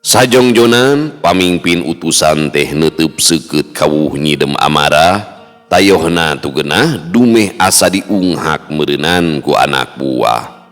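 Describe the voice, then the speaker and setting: A man with a West Javanese accent telling a dramatic folk tale in a measured, theatrical way. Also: very deep voice, expressive, fairly bright tone. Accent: Sundanese-speaking West Java. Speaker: storyteller. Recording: long hall reverb, music underneath.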